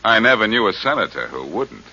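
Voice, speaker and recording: An actor playing a tough, wisecracking detective hero in a 1940s American radio drama, terse, confident and mocking. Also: male voice, on the low side, big pitch swings, brisk, sharp tone, breathy edge, soft background music, heavily acted. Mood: cool, confident. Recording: muffled, noisy 1940s radio recording.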